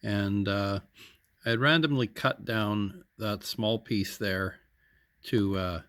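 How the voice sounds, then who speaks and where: A man giving an unscripted how-to narration as a hobbyist, matter-of-fact and hesitant, often pausing mid-sentence. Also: lowish voice, a bit bright, no room sound. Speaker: hobbyist electronics repair demonstrator.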